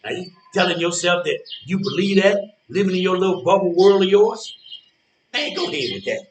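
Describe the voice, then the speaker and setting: A man's voice: sits high, expressive, brisk, reverberant, recorded from a distance, a little hiss or hum. A man playing a grumpy, defensive character in a stage play, bickering with a rival in a combative, dismissive, colloquial way.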